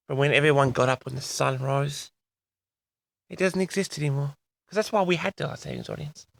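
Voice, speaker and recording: A man hosting a personal audio log, rambling solo to his audience in a theatrical manner with drawn-out words. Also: a little high, creaky, fairly bright tone, dry recording.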